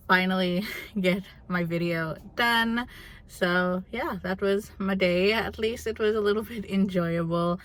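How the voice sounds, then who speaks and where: A young woman chatting casually and candidly to her vlog audience in a rambling, animated way. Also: mid-range voice. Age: young adult. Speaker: vlogger.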